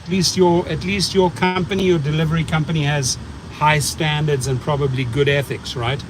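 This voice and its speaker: A man's voice: fairly high pitch, fairly even intonation, normal speed, noisy background. A middle-aged man with a South African accent hosting a casual livestream chat, rambling and blunt, repeating words as he thinks. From United States. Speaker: channel host.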